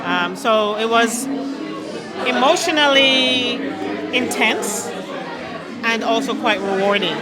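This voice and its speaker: A woman's voice: a little high, takes it slowly, long pauses. A young adult woman from Jamaica with a Jamaican accent, a doctor chatting in an interview at a party and speaking with conviction. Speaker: guest, Chinese medicine doctor. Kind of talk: event interview chat. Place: busy indoor party. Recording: crowd noise of a busy party. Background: crowd chatter.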